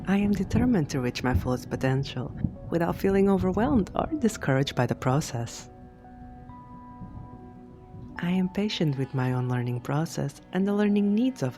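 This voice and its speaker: A woman reads scripted affirmations for sleep hypnosis in a measured, deliberate way, phrase by phrase. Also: low-pitched, mellow. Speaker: affirmations narrator. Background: background music.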